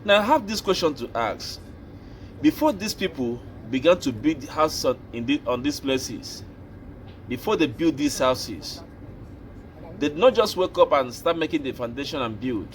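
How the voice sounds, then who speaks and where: A Nigerian man hosting an opinion show, speaking slowly and deliberately, like a preacher. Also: on the high side, sing-song, slight background noise, poor audio. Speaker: commentary host. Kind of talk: opinion commentary.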